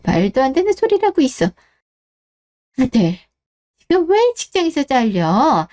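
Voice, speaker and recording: Woman acting domineering, high-pitched older mother-in-law. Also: big pitch swings, a little slow, dark tone. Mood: defensive. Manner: scolding, indignant. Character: domineering, scornful older mother-in-law, overprotective of her son. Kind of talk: melodramatic radio drama dialogue.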